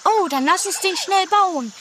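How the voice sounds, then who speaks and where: A woman's voice: very high voice, animated, measured pace, fairly bright tone, full character voice. A woman playing a flighty, curious lady in an amateur comedy radio play, theatrical and chatty. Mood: gushing. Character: gushing, flighty, curious, easily unsettled lady. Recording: distant, faintly noisy amateur 1980s recording.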